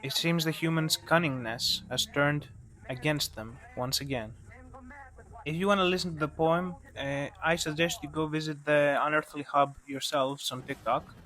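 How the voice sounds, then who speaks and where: A man's voice: fairly high pitch, steady tone, normal speed, bright, forceful tone. A man narrating scripted analysis as a video essayist, with casual asides.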